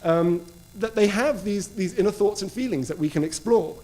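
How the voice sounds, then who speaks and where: A man's voice: high-pitched, moderate pace, a little mellow. A man introducing a public book talk, explaining eagerly. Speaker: author and speaker.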